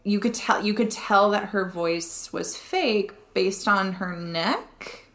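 A woman co-host in casual conversation, mid-pitched and easygoing. She nudges the talk along with questions and short agreements. Her voice carries a roomy echo. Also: takes it slowly, a bit bright. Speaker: podcast co-host. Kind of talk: casual podcast chat.

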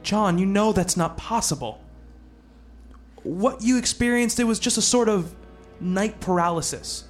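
Man acting as earnest higher-voiced psychiatrist narrator. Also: animated, unhurried, faint music behind. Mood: gentle, reassuring. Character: earnest male psychiatrist narrating his own story. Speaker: narrator and lead actor in a radio play. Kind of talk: scripted radio play narration and dialogue.

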